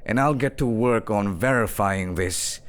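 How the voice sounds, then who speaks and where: An actor playing a scheming duke in a fantasy audio drama, with a pompous, theatrical, taunting delivery. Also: male voice, on the low side, unhurried, clear, resonant tone, natural voice. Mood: coldly urging. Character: scheming, sneering duke, a smooth-tongued villain.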